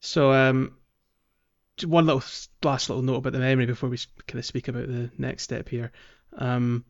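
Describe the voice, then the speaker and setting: A man hosting a casual podcast about a game, talking in a halting, thoughtful way. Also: medium pitch, level tone. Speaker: podcast host. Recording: slightly dull, muffled audio.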